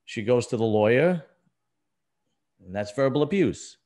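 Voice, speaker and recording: A man's voice: medium pitch, animated, measured pace, a little mellow. A middle-aged man talking casually as a podcast host, blunt and emphatic, often repeating himself for stress. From US East Coast. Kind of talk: casual conversational podcast talk.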